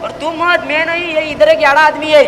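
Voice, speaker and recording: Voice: high voice, steady tone, brisk, very noisy, close to an everyday voice. A performer playing a bumbling village mango seller, chattering as if on the phone in broken, mixed speech in a school stage comedy. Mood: flustered. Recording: live school stage recording.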